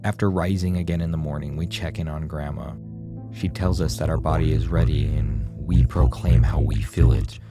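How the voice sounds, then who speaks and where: Low voiced guy telling a creepy horror game story, dramatic and ominous, music playing under it. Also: normal speed. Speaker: video essay narrator. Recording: music behind the voice.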